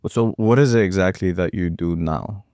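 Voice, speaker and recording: Guy hosting a business chat show, lowish voice, upbeat and jokey, dry close mic. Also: normal speed, darkish tone, dry recording. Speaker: host. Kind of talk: casual interview.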